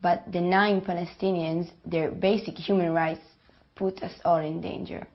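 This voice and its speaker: A nineteen-year-old woman, making an earnest, deliberate appeal to the camera. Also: on the low side, little pitch movement, lo-fi sound. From Israel.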